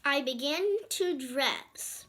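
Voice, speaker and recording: A woman's voice: sits high, slow pace, bright, forceful tone. A young girl reading a picture book aloud, breaking off for informal, chatty asides to other children watching. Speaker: storybook reader and channel presenter.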